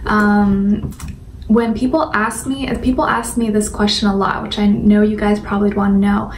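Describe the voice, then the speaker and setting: A woman's voice: mid-range voice, flat delivery, moderate pace. Young woman vlogging in an echoey room. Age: young adult. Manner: informal, rambling, filler-heavy with frequent "like". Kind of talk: casual chat to viewers. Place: indoor room at home with a sunlit window. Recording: roomy echo, distant mic, faint noise.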